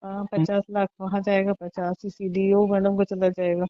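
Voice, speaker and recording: A woman's voice: mid-range voice, level tone, normal speed, smooth, no room sound. A woman, talking casually and emphatically on the phone, often repeating her phrases. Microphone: phone call. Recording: muffled recorded phone call.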